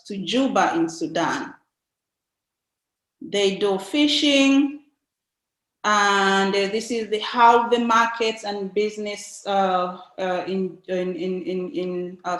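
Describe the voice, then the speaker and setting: A young woman with a Tanzanian accent gives an informative presentation in a halting way, often pausing and repeating words. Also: medium pitch, a bit bright. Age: young adult. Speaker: invited speaker, nun. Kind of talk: informative slide presentation talk. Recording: echoey, roomy sound.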